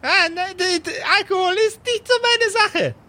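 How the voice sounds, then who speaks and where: A man's voice: extremely high pitch, normal speed, sharp tone. A man voicing a prim, stammering, smug magician rival in an improvised tabletop audio play. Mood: prim, boastful. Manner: stammering, hesitant.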